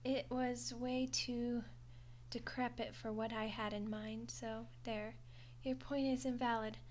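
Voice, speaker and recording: A high-pitched skeleton girl acted by a woman speaks straight to the listener in an audio roleplay. She is friendly and awkward, taking her time and stumbling hesitantly through wry, chatty excuses. There is some background noise on the recording. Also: little pitch movement, slow, a little mellow. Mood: defensive, flippant. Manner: hesitant, chatty, wryly defensive.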